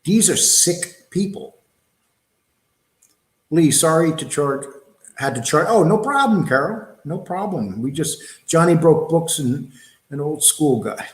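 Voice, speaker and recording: A man's voice: fairly high pitch, animated, measured pace, roomy sound. A man hosting a livestream talk show, blunt and rambling, throwing out rhetorical questions and reading viewer comments. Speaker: show host.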